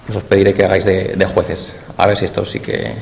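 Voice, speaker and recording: A man's voice: deep voice, little pitch movement, brisk, gravelly, a little mellow. A man with a Spanish accent giving an academic conference talk in a lecture hall, explaining and addressing his audience directly. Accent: Spain. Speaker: university lecturer. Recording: reverberant hall, faint noise.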